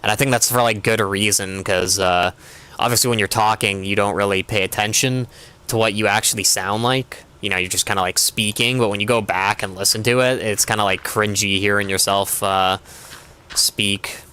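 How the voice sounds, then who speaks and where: A man's voice: fairly low pitch, steady tone, normal speed, bright, forceful tone, clean tone. A young man streaming a game, chatting casually in a rambling way with constant filler words. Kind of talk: casual chat while gaming.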